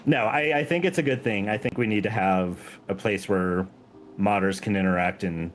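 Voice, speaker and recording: A man's voice: lowish voice, moderate pace, slight background noise. A man talking casually and earnestly on a gaming stream as a guest, informal and self-effacing. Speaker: guest, game mod creator.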